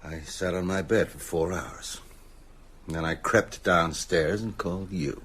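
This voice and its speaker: An actor playing a frightened, haunted man in an audio drama, insistent and urgent, with halting delivery. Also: sits low, a bit bright, slightly rough, exaggerated voice. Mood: haunted, hushed. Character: frightened older male teacher, insistent, haunted, wryly self-mocking.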